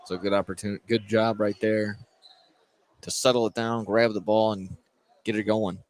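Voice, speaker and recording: Low-voiced man calling basketball over gym noise. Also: flat delivery. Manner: halting, repeats and corrects himself mid-call. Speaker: play-by-play commentator. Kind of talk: live high school basketball radio commentary. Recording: noisy live courtside radio broadcast.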